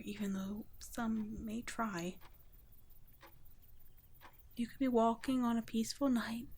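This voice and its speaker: Woman voicing a whispering, slow, tender vampire guardian. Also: on the high side, slight background noise, lightly acted. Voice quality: soft, breathy half-whisper. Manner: slow, hushed, halting, tender. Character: gentle, guilt-ridden female vampire, protective guardian. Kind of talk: ASMR roleplay, scripted intimate monologue.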